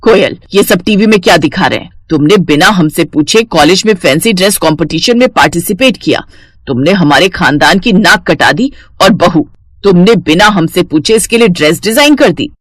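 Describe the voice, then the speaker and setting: A woman's voice: lowish voice, lively intonation, moderate pace, dry recording, clean studio sound. A woman voicing a traditional, stern older mother-in-law in a cartoon story, matronly and emphatic. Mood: angry, scolding. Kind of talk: animated storybook cartoon dialogue.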